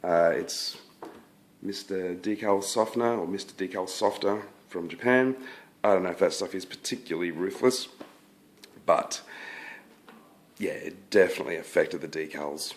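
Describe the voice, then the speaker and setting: A low-voiced man talks through his finished scale model in an easy, unhurried way. His voice is croaky because he has been sick, and he stumbles into fillers and restarts as he thinks aloud. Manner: relaxed, hesitant, many fillers and restarts. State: croaky from illness. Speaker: scale model hobbyist presenting his build. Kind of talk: casual review to camera.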